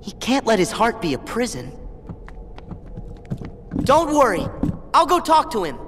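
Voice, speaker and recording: A man playing a plucky, earnest teenage boy hero in a video game, eager, expressive and casual. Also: very high-pitched, unhurried, a little hiss or hum, full character voice. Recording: long reverb, loud game music.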